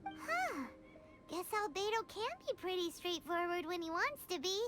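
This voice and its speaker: A woman voicing a tiny, cheeky, childlike fairy companion in a video game, squeaky and exclamatory. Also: very animated, moderate pace. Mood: curious. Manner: exclamatory, refers to herself in third person. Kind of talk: video game character dialogue. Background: music.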